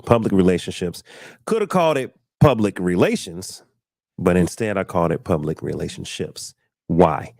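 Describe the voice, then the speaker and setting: Laid-back low-voiced male podcast host. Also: expressive, moderate pace, gravelly, a little mellow, no echo, studio quality. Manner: laid-back, laughs often, repeats himself. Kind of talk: casual podcast chat.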